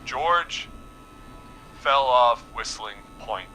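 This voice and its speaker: A man's voice: medium pitch, lively intonation, very slow, sharp tone, faint music behind, exaggerated voice. An actor playing an arrogant, defensive young man from a powerful small-town family in a video game, sounding entitled and self-justifying. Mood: grim, halting.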